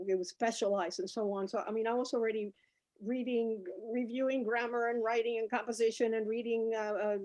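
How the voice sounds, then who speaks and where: A woman's voice: medium pitch, a little mellow, low-quality recording. A woman in her sixties from Cuba, a native Spanish speaker, telling personal stories as a panelist in a conversational way. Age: 60s. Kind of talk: reminiscing panel talk.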